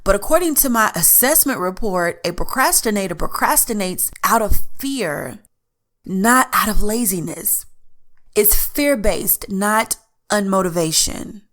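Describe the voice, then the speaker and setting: A woman's voice: mid-range voice, lively intonation, unhurried, a bit bright. A woman hosting a solo podcast in a chatty, earnest way, her voice stuffed up from allergies. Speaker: podcast host. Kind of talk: casual solo podcast talk.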